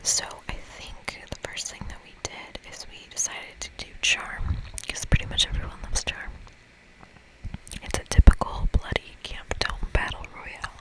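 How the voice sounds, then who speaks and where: A woman whispering breathily in an ASMR style as she hosts a game playthrough, reading aloud and commenting softly. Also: moderate pace, low-quality recording. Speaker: ASMR gaming host.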